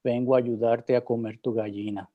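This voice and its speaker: A man voicing a slow, grave Death. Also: mid-range voice, little pitch movement, no room sound, narrow, tinny audio, close to an everyday voice. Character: Death, a mysterious man who visits.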